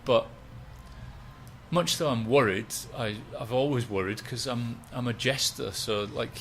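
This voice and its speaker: A man, a stand-up comedian chatting in a relaxed, rambling, self-mocking way. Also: medium pitch, measured pace, slight background noise. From West Yorkshire, England. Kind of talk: relaxed interview chat.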